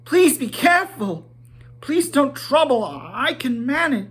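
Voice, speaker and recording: Guy doing a tiny flustered hobbit, way up high and breathy, pleading nervously, storybook style. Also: very animated, slow, a bit bright, exaggerated voice. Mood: frightened pleading. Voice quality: squeaky, breathy. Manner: pleading, anxious. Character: small, fussy, flustered male hobbit, squeaking with fright.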